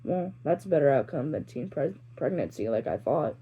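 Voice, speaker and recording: A woman's voice: deep voice, expressive, moderate pace, mellow, slightly rough, lo-fi sound, voice pushed down. A woman voicing a blunt, incredulous teenage boy in an amateur fan fiction reading. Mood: surprised.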